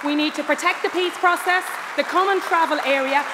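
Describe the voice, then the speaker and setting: A woman's voice: high voice, a little fast. A woman giving a rousing, emphatic conference speech, declaiming each line to the hall. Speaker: party conference speaker. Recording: distant hall mic with loud crowd noise.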